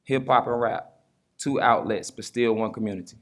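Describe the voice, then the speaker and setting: A man's voice: medium pitch, fairly even intonation, normal speed, noisy background. A man delivering a formal prepared speech to close a conference talk. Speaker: conference speaker.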